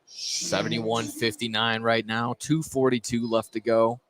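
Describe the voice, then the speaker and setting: A man doing live play-by-play of a high school basketball game on radio, with casual, loose phrasing and repeated words. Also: level tone, measured pace, crowd noise. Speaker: play-by-play sports announcer. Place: indoor high school gym during a game. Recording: live gym broadcast, clear background noise.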